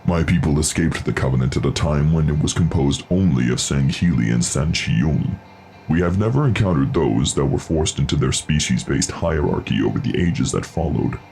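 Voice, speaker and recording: A grave alien craftsman with an extremely deep, gravel-edged voice. He is dark in tone, courteous and formal, choosing each word with care as in a scripted audio drama. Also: animated, slight background noise, full character voice. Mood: solemn, reflective. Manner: formal, measured, contraction-free speech. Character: grave, courteous male alien artisan armorer of an isolated people.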